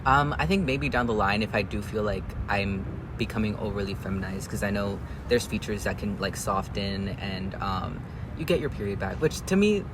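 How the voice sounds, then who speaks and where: A young man from Indiana with a deep voice, talking fast and casually with lots of fillers as an interview guest. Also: noisy background. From Indiana, USA.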